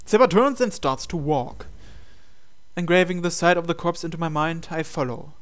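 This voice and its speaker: A man reading visual novel text aloud as a measured, dramatic storyteller and host. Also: a little high.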